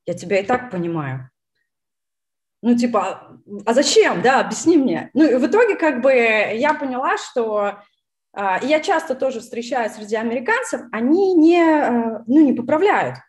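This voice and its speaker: A woman's voice: on the high side, sing-song, normal speed. A woman whose first language is Russian, chatting casually with many fillers and restarts. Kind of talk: casual conversation.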